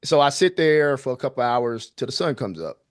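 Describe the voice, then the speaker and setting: A man guest on a podcast, telling a personal story in an easygoing, drawn-out way. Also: mid-range voice, expressive. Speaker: guest, filmmaker. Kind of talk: casual storytelling.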